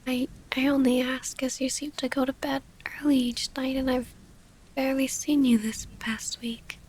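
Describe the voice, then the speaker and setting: A woman playing a gentle, formal vampire noblewoman and wounded lover in an intimate ASMR roleplay, speaking in a soft, breathy half-whisper. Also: high-pitched, flat delivery, normal speed, a little hiss or hum, close to an everyday voice. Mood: fond, tentative.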